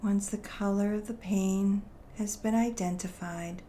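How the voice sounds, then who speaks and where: Woman, meditation guide, very unhurried, soft dark timbre, gentle and soothing, noticeable background noise. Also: medium pitch, steady tone. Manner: gentle, soothing, deliberate phrasing with long pauses.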